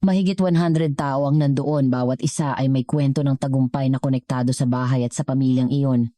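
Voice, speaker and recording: A woman narrates a scripted story in a very low-pitched voice. Her tone is soft and dark, and the sound is dry and close, with little room on it. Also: fairly even intonation, brisk, no echo.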